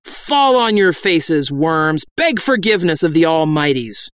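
Narrator lady doing a bold warrior girl playing stern priest, raised pitch, loud haughty scolding, fantasy audiobook. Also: sing-song, measured pace. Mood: commanding, scolding. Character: bold bluffing young warrior woman posing as stern priest. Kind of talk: fantasy audiobook character acting.

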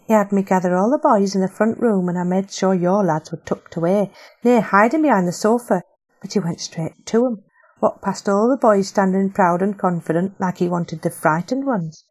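A woman voicing a kindly, soft-spoken older matron who apologizes, in an audiobook. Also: medium pitch, moderate pace, close to an everyday voice. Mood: apologetic.

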